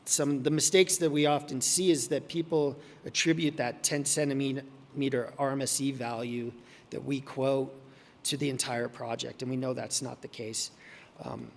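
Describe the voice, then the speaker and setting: Hoarse man, gravelly voice, halting conference talk, long hall reverb. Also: medium pitch, steady tone. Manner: halting, full of ums and restarts. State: hoarse, losing his voice. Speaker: conference presenter. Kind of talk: conference talk with many fillers.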